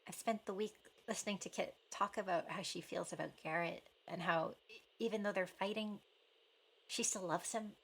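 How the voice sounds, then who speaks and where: A guarded, conflicted young woman in a radio drama. Her voice is creaky and halting, blunt when rattled, with faint hiss behind it. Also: mid-range voice, fairly even intonation, a bit bright, low-quality recording. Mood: regretful, pleading. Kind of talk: naturalistic radio play acting.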